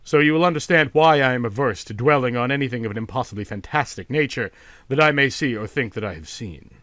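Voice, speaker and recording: A reserved gentleman artist, acted out by a male narrator recounts something uncanny from a storybook. He is formal, measured and grave, at a medium pitch in a very dry recording. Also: no room sound, lightly acted. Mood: guarded. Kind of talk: storybook character dialogue.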